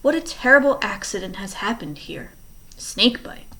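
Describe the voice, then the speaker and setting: A woman's voice: mid-range voice, animated, normal speed, fairly bright tone, natural voice. A woman reading aloud and voicing a scheming, menacing male villain who mocks the children. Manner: mocking, menacing.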